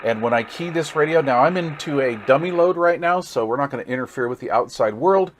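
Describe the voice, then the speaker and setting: A man with an average-pitched voice gives an informal, hands-on demo. He thinks out loud as he works, in the folksy, easygoing way of an enthusiastic hobbyist showing off equipment. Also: animated, fairly quick. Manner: thinks aloud while working. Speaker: radio reviewer and hobbyist. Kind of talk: casual hands-on product demonstration.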